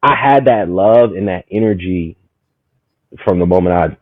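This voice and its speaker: A man in his thirties from Maryland, a guest telling his story in a lively, rambling way, full of "you know what I'm saying". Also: low-pitched, moderate pace, no room sound. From Maryland, USA. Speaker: guest, community market founder. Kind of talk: casual storytelling conversation. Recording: tinny, phone-like, dry.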